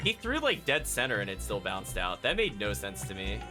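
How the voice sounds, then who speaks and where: A man's voice: lowish voice, huge pitch swings, normal speed. A man giving casual live commentary as a video game streamer, thinking aloud and chatting to his viewers. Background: game music.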